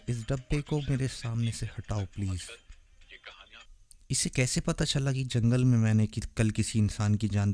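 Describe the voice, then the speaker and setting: A man's voice: medium pitch, a little mellow, natural voice. A man playing a naive, intense and protective young vampire boyfriend in a romantic ASMR audio drama, earnest and dramatic. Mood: angry. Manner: earnest, dramatic, puzzled questioning.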